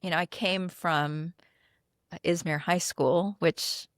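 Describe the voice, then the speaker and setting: A woman's voice: fairly low pitch, steady tone, slow pace, dry recording, studio quality. A middle-aged woman from the Philippines whose first language is Tagalog, speaking as a guest in a conversational interview, earnest, with frequent "um"s and light laughs.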